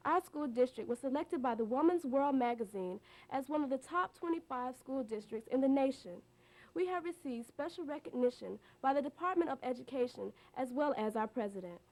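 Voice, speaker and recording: High-voiced female presenter reading a script. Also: animated, a little fast, a little mellow, rough recording. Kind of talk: scripted narration.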